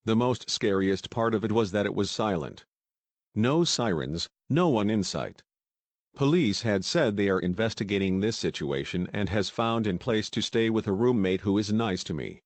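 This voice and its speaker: A man playing a shaken eyewitness survivor, giving scripted testimony in a deliberate, recited manner for a news interview. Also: on the low side, animated, no room sound. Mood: grim, recounting.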